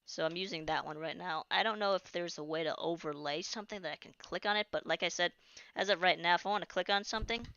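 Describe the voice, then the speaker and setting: A woman's voice: lowish voice, quick pace, sharp tone, clean tone, dry recording. A woman chatting casually during a live game stream, rambling and restarting sentences, her voice starting to tire. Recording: dull lo-fi stream audio.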